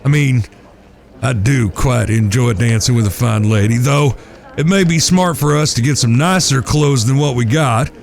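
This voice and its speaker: A man's voice: mid-range voice, moderate pace, vocal fry, natural voice. An actor playing a wry eldest cowboy brother in a Western audio drama, folksy and mock-formal in his talk. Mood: wry, teasing.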